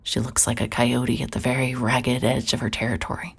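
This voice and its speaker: A woman playing a weary, introspective private investigator in a horror audio drama, guarded and quiet in manner. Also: a bass voice, little pitch movement, a little fast, vocal fry, slightly rough, full character voice. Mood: brooding, wistful. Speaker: narrator and lead character. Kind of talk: scripted first-person noir horror audio drama narration.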